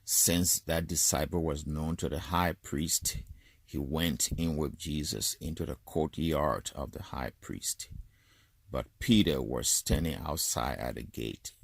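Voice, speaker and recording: A man with an extremely low voice reads scripture from the text. His pace is slow and measured, and the sound around him is dry. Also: creaky, slightly rough, no echo. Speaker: scripture reader. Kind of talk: scripted Bible reading.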